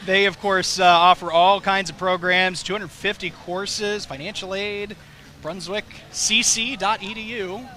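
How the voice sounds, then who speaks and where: A man's voice: high voice, fairly even intonation, unhurried, sharp tone. A man with a Southern American accent giving jokey, chatty live commentary on a street parade outdoors. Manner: jokey, chatty, laughs often.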